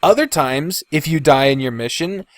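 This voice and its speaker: A man's voice: a little high, animated, moderate pace, dry recording, studio quality. A man hosting a podcast, talking casually and bluntly about video games with lots of swearing and complaints. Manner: frequent swearing, blunt, griping. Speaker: podcast host. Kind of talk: casual opinionated video game commentary.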